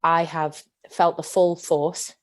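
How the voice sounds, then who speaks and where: Low-voiced earnest woman guest. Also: level tone. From Yorkshire, England. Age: 30s. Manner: earnest, measured, deliberate. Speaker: guest, PE teacher and former athlete. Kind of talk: reflective podcast interview.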